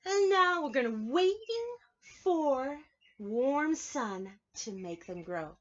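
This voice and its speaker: A woman preschool teacher reading aloud and explaining things to young children, clearly emphasizing key words in an instructive way. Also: high voice, big pitch swings, takes it slowly, highs cut off. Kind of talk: children's read-aloud lesson with explanations and questions.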